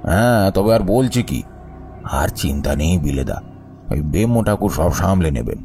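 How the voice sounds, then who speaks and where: A man's voice: low-pitched, expressive, a little slow, mellow, faint music behind. A man playing a gleeful, conspiratorial old man who gossips emphatically in an audio drama.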